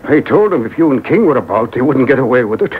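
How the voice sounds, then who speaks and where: A man's voice: big pitch swings, fast talker, slight background noise, lightly acted. An actor playing an earnest, folksy townsman with unschooled phrasing in an old-time radio drama. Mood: indignant, earnest. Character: earnest, folksy townsman named Mike reporting to Mountie. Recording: tinny boombox taping of AM radio.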